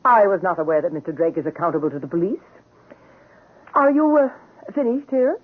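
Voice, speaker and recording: An actress playing a cruel, haughty, seductive rich wife in a 1970s radio drama, speaking in a formal, imperious, deliberate way. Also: female voice, on the low side, animated. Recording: muffled, narrow-band old radio broadcast.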